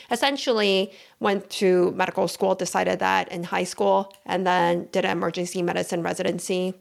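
A woman in her thirties from Taiwan, a physician guest on an interview, explaining in a steady, matter-of-fact way. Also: medium pitch, gravelly. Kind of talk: interview conversation.

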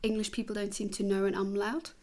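A woman's voice: mid-range voice, flat delivery, fast, dark tone. A chatty woman in her twenties from Switzerland talks casually as an interview guest. She laughs often and fills her speech with ums and likes. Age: 20s.

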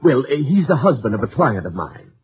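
A husky, hesitant insurance broker. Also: male voice, medium pitch, big pitch swings, a little fast. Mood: uneasy, obliging. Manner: hesitant, deferential, polite hemming. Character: short, thin, uneasy apologetic male insurance broker. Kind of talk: 1950s radio drama acting. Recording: muffled 1950s radio recording.

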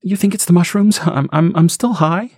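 A man voicing a terrified young mother, his voice pushed up into a high, strained falsetto. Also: moderate pace, darkish tone, dry recording, clean studio sound. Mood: panicked.

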